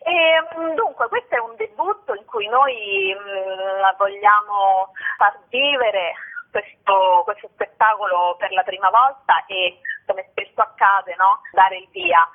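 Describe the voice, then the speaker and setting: A woman's voice: mid-range voice, takes it slowly. A woman actress answering interview questions about her stage work, thoughtful and hesitant, with many fillers and restarts. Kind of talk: casual spoken interview. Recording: phone-like narrow sound.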